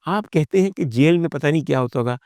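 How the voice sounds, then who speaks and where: A man's voice: a little high, fast, darkish tone, no room sound, studio quality. A Pakistani man telling a personal story as a monologue, in a dramatic, emphatic raconteur's manner. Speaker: talk show guest and storyteller.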